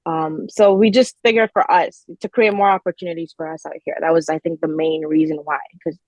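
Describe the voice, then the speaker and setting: Casting: woman, 20s, podcast guest, slightly low voice, casual and conversational, close dry sound that is a touch dull. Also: steady tone, a little fast, a little mellow, no room sound. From Nigeria, grew up mostly in Canada. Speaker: podcast guest, fashion designer. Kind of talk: casual interview chat.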